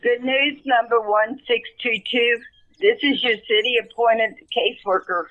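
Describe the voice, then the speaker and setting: Woman playing a brusque, high-voiced tired caseworker. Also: steady tone, a little slow, narrow, tinny audio, close to an everyday voice. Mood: brisk, impersonal. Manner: impersonal, dismissive. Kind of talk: amateur radio play acting.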